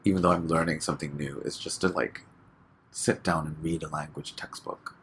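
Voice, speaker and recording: A man from Hong Kong, a guest chatting casually in an interview, relaxed and full of fillers, laughing often. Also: very deep voice, fairly quick, slight background noise.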